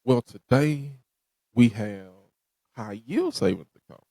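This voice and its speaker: A man's voice: mid-range voice, very animated, slow, creaky, dark tone, no room sound, studio quality. A man hosting a podcast, giving a direct, motivational talk that repeats its points. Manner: preachy, direct, repeats himself. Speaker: podcast host.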